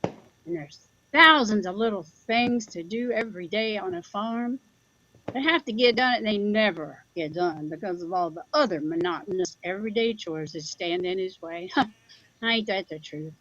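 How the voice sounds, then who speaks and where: Slightly muffled, close and dry recording of an actress as an older farm mother in a radio play. She is wry and folksy, teasing in a plain-spoken, conversational way at a middle pitch. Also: moderate pace, fairly bright tone, dry recording, close to an everyday voice. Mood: wistful. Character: wry, plain-spoken rural Midwestern mother, teasing her grown son. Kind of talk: audio drama acting, conversational.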